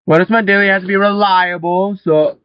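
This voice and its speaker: A young man giving relaxed, rambling gameplay commentary, swearing casually as he talks. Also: sits high, a little fast, clean tone, dull audio. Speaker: gaming channel host.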